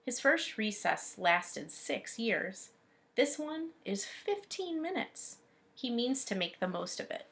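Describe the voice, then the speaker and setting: Woman teacher with a creaky voice. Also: on the high side. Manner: expressive, instructive, pausing to explain words and ask questions. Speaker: teacher reading aloud. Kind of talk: read-aloud lesson with commentary.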